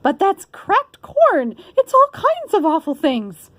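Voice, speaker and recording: Woman putting on a high, indignant fussy wife. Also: wildly swooping pitch, slow, a bit bright, no room sound, exaggerated voice. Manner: exclaiming, indignant. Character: exasperated, fussy married woman, easily scandalized. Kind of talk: children's storybook character reading.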